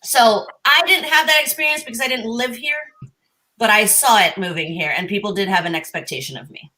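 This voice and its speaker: A woman's voice: fairly high pitch, brisk, pushed and bright, low-quality recording. A woman who grew up in Mexico, telling personal stories in a casual panel chat. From Mexico, born in the Philippines. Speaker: podcast panelist.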